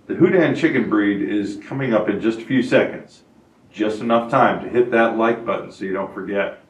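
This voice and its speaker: A man in his fifties hosting a hobby farm channel, reading a scripted breed spotlight in a steady, informative way. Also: fairly low pitch, normal speed, roomy sound, recorded from a distance. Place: indoor studio set before a wooden barn-wall backdrop. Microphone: lapel mic, medium camera framing.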